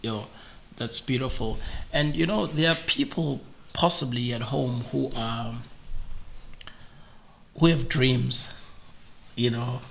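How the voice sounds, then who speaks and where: Guy hosting, raspy fry, bright tone, faint hiss. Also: mid-range voice, low-quality recording. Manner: slow, thoughtful questioning. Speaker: podcast host. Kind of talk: interview questions.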